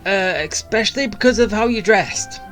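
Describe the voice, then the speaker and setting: A woman's voice: mid-range voice, moderate pace, soft background music. A woman giving casual gameplay commentary with wry, blunt asides. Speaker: video game streamer.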